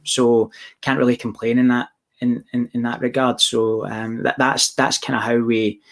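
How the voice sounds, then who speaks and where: A young man from Scotland with a softened Glaswegian accent, answering questions about his business in a casual, rambling way full of restarts. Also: medium pitch. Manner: rambling, many restarts and fillers. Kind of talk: casual Q&A chat.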